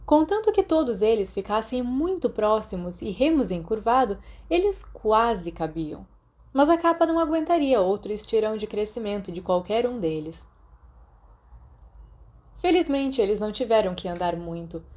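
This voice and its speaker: A woman with a Brazilian accent narrates fiction in audiobook style. Her tone is mellow and dark, at a medium pitch. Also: very animated, moderate pace. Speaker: podfic narrator.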